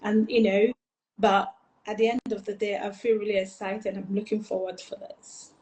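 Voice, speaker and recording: A woman's voice: medium pitch, flat delivery, normal speed, darkish tone, reverberant, poor audio. A woman from eastern Nigeria, a pageant finalist, answering interview questions in an earnest, rambling way with many fillers.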